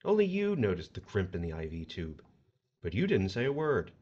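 An actor playing a smug, folksy agent of the afterlife who mockingly recites a sinner's crimes in an audio horror drama. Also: male voice, low voice, huge pitch swings, moderate pace, darkish tone, muffled recording, exaggerated voice. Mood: accusing, smug.

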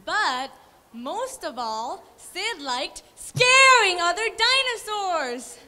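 Animated high-voiced teen girl storyteller. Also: very slow. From Thailand. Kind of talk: readers' theatre storybook reading. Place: large indoor hall stage. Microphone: stand mic. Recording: reverberant hall, faint noise.

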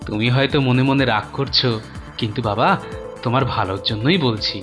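A man's voice: mid-range voice, a little fast, natural voice. Man as a concerned old heron, music behind. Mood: worried, advising. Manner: cautioning, patient advising. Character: concerned elderly male heron, fatherly guardian. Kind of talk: children's cartoon dubbing.

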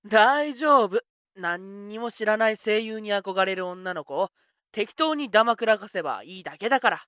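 A woman playing a flippant, self-serving young witch with rough, slangy speech in a comic anime voice drama. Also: medium pitch, animated, moderate pace, fairly bright tone, no room sound, natural voice. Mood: sly, breezy.

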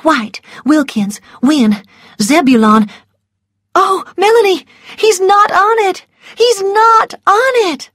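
Impulsive young lady for a storybook, played by a female narrator. Raised pitch, emotional, exclamatory, dry sound. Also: takes it slowly, husky, dry recording, heavily acted. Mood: anxious, then overjoyed.

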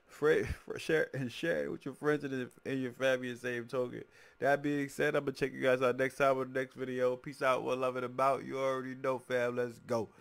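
A man in his forties from Haiti, talking casually into a studio mic at home as a music reaction host, hyped and swearing freely, with a rap track playing behind him. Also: medium pitch. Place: small home studio indoors. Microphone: large studio mic on boom arm, wearing headphones.

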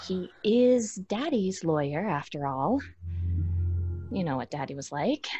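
A woman's voice: on the low side, lively intonation, unhurried, dark tone, dull audio, soft background music. A young woman playing a matter-of-fact, stubborn twin sister in a live horror audio drama. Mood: firm, matter-of-fact. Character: organized, stubborn twin sister, grieving her late father. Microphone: video call from home.

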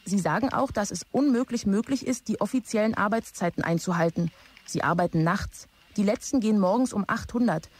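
A woman's voice: mid-range voice, a little fast, darkish tone, slightly rough, natural voice. Actress as a matter-of-fact female office assistant. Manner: plain, report-like delivery. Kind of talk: German audio drama acting.

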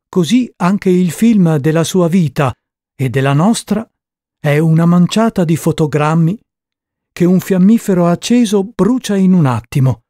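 A man narrating a scripted radio drama in a solemn, measured way, with dramatic pauses. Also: fairly high pitch, dark tone, no echo, clean studio sound.

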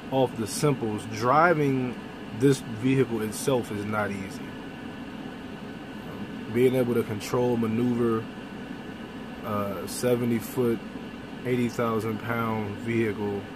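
Young male trucker, blunt talker, phone-recorded in the cab with steady road noise. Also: fairly low pitch. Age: young adult. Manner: blunt, animated, with mild cursing. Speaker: truck driver vlogger. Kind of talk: casual vlog monologue. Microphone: phone camera close up. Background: vehicle and air-conditioning hum.

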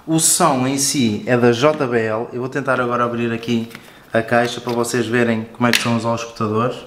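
A man's voice: mid-range voice, little pitch movement, fairly quick, slight background noise. European Portuguese man reviewing, roomy echo. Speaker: product reviewer. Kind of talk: casual product review and installation walkthrough. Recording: roomy echo with music behind. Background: background music.

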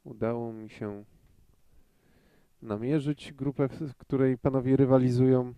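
A man giving live pool commentary in a measured way, thinking aloud with frequent hesitations. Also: medium pitch, fairly even intonation, clear, resonant tone, no room sound, dull audio.

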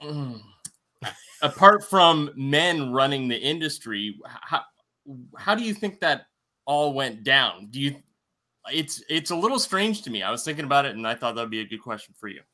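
A man's voice: medium pitch, big pitch swings, moderate pace, sharp tone. A man on a video call asking a curious question in a careful, hedging way. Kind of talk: casual video call chat.

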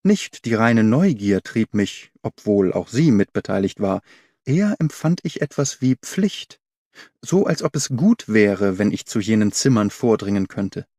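Curious young governess, read by a man, measured, precise, audiobook storytelling, very dry studio sound. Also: on the low side, sing-song, dark tone, dry recording. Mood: uneasy, determined. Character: observant, curious young governess recounting a strange mystery. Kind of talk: first-person audiobook narration.

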